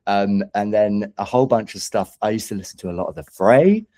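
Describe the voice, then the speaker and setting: Low-voiced London man, soft dark tone. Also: fairly quick. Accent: London English. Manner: enthusiastic, rambling, informal. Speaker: musician being interviewed. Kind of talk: casual interview chat.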